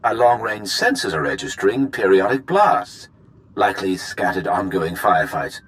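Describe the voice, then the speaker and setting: An actor playing a smooth, self-serving research executive in a science fiction game, with glib, wordy formality. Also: male voice, deep voice, very animated, moderate pace, rough recording. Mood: smooth, evasive. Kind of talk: video game cutscene acting. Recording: music behind the voice.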